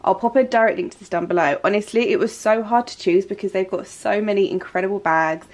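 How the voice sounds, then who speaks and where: A woman's voice: medium pitch, quick pace. A young woman in her twenties chatting casually to her handheld camera at home, enthusiastic and full of fillers, her nose stuffy from hay fever. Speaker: lifestyle vlogger. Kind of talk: casual vlog chat to camera. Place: living room at home, indoors.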